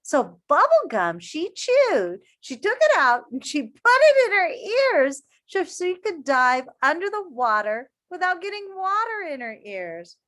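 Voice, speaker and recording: A woman teacher reading a picture book aloud to children in an expressive way, pausing to ask her young listeners questions. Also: very high voice.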